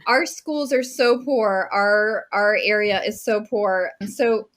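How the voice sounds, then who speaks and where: A woman's voice: mid-range voice, takes it slowly, fairly bright tone. A woman in her forties from New Mexico, talking eagerly about teaching and art, laughing often and speeding up in bursts. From Las Cruces, New Mexico, US. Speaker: guest artist and teacher. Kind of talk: casual interview talk.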